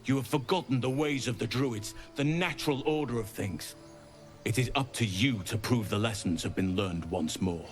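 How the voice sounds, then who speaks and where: An actor playing a solemn, authoritative archdruid in a fantasy video game, speaking in a measured, formal and stern way. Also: male voice, medium pitch, soft background music, lightly acted.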